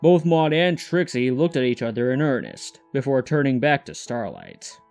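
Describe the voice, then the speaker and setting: A man's voice: mid-range voice, sing-song, normal speed, gravelly, a little mellow. A male narrator reads a scripted story for an audio drama. He tells it in the third person, with music playing behind his voice.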